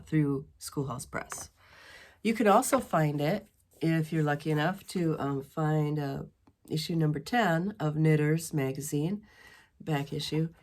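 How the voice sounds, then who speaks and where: A middle-aged woman with a notably low voice chats from her dining room. Her head is stuffy from a spring cold, and she rambles along with plenty of ums and restarts. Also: a little mellow. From western Wisconsin. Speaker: knitting podcast host. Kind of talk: casual chat.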